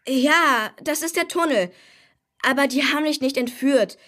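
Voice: sits high, normal speed, sharp tone. A young performer playing a chatty, cocky boy superhero who likes to explain things, in a children's radio play. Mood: excited, explaining. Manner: breezy, eager, explanatory, casual.

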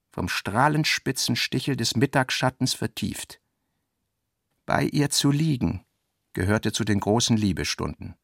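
A man narrates literary prose in a measured, reflective way. His mid-pitched voice has a gravelly creak, and the recording is dry and close, as in a radio production. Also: no room sound.

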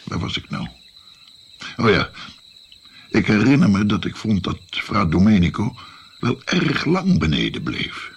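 A very low, gravelly old grandfather recalls his adventure in a radio play. He rambles and hems as he goes, with lively rises and falls. There is faint noise behind him. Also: measured pace, pauses often, exaggerated voice. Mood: reminiscing. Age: older.

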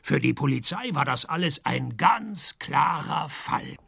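An actor playing a sardonic, hard-drinking male private detective in a radio play, deadpan in wit. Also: a little high, measured pace, vocal fry, husky, no room sound, studio quality, exaggerated voice. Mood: wry narration. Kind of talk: scripted noir parody narration and dialogue.

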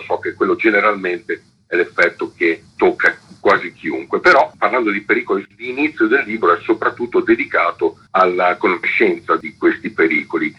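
A man's voice: fairly low pitch, breathy edge, muffled recording. A man speaking as an author and interview guest, giving explanatory answers over a radio phone link.

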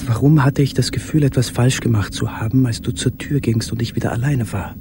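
An actor playing a tormented, lonely man who confesses haltingly in an intimate radio play monologue, often sinking toward a whisper. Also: medium pitch, noisy background, natural voice. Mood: anguished, brooding.

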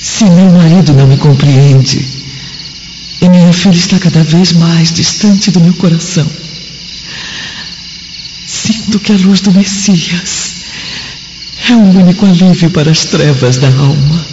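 A woman's voice: fairly low pitch, unhurried, pauses often, mellow, close to an everyday voice. An actress playing a devout, sorrowful Roman noblewoman and mother, praying solemnly in a radio drama. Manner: solemn, prayerful delivery. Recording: dull lo-fi radio drama, background noise.

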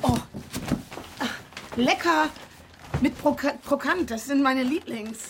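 A woman's voice: sits high, slow, background noise. A woman playing a brash, pushy sovereign citizen in a radio drama, talking bluntly and colloquially. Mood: chatty. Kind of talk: naturalistic radio drama acting.

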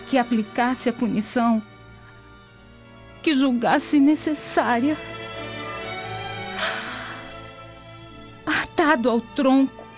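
An actress playing a tender, sorrowful young Roman granddaughter in a radio soap, earnest and formal. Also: female voice, on the high side, a little mellow. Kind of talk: dramatic radio soap acting. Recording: dull lo-fi, music behind.

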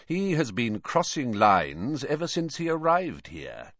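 A man reading an audiobook, voicing a malicious, sneering teacher who speaks softly, slowly and with menace. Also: medium pitch, wildly swooping pitch, no echo, muffled recording, voice pushed up, heavily acted. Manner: soft, slow, menacing. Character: malicious potions master, man, cold and sneering.

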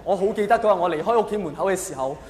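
A man's voice: high voice, lively intonation, rapid-fire, a little mellow, a little hiss or hum. A man with a Hong Kong accent performing a stand-up comedy monologue, telling stories theatrically and putting questions to his listeners. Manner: theatrical storytelling with rhetorical questions. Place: live on stage in a large hall. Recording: echoey hall with long reverb.

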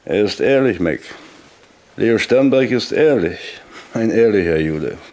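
An actor playing a menacing, falsely polite gangster in a 1960s German radio play, mocking and threatening. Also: male voice, fairly low pitch, lively intonation, a little slow, warm tone. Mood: mocking, sneering. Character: menacing, sneering male gangster intimidating a shopkeeper.

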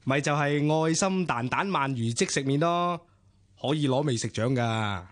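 A man's voice: mid-range voice, expressive, normal speed, natural voice. A man acting a charming, flirtatious amateur poet in a Cantonese radio drama, with a Hong Kong accent and an easygoing, bantering way of talking.

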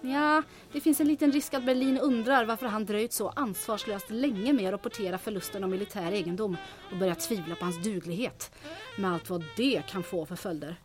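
A woman playing a brisk, decisive schemer who takes charge in a radio drama, speaking in a businesslike way. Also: mid-range voice, music in the background, close to an everyday voice. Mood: calculating.

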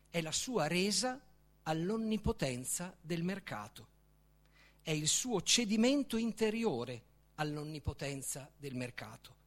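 A man gives a literary reading in a theatrical, declamatory way. His pitch sits high and moves a great deal. He takes his time, setting phrases apart with deliberate, emphatic pauses. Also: a bit bright. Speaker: author reading own book. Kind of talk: literary reading, dramatic recitation.

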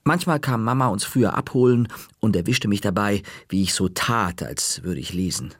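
A man narrating a children's story in a lively, expressive audiobook reading. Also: lowish voice, moderate pace, slightly rough, dry recording, clean studio sound.

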